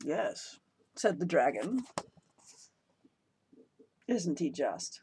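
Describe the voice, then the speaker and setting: A woman reading a picture book aloud as a storyteller, expressive, with a playful, chatty way of addressing the listener. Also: medium pitch, normal speed, slight background noise. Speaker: children's story reader.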